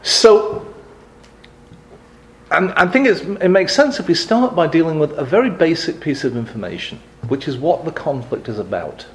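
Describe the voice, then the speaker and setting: A man's voice: fairly high pitch, big pitch swings, normal speed. A middle-aged man from England giving a live lecture with dry, wry humor and frequent ums and restarts. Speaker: guest speaker on advocacy.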